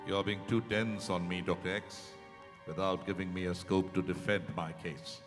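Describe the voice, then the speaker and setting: A man's voice: deep voice, little pitch movement, normal speed, close to an everyday voice. A man playing a cornered man defending himself in a dramatized stage reading, hesitant and pleading. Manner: hesitant, pleading, self-justifying. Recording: hall reverb, faint music behind.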